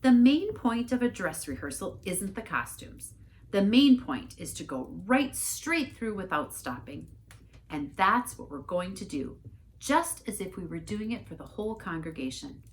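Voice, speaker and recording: A woman's voice: medium pitch, sing-song, a little slow. Woman playing a harried, insistent storybook mother. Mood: reassuring, instructing. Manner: instructing, insistent. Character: harried, earnest mother directing a children's pageant.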